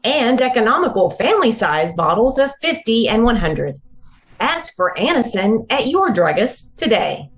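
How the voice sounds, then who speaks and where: A woman delivers a vintage radio sales pitch as an announcer. Her slightly high voice moves up and down a lot, stressing each scripted phrase clearly. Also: sing-song, normal speed. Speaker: commercial announcer. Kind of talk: scripted 1950s radio advertisement read.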